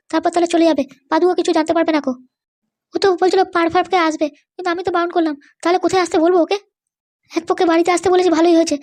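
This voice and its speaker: A woman's voice: very high voice, darkish tone. A woman voicing a scheming, fretful young woman who chatters to herself in an amateur comedy cartoon. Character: scheming young woman plotting around her secret boyfriend.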